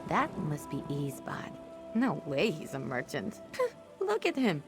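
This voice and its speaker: A woman playing a loyal, warm-hearted young former pickpocket in a video game, speaking in an earnest, heartfelt way. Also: deep voice, huge pitch swings, slow, loud music behind the voice, heavily acted. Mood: wary, scornful. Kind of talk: video game character dialogue.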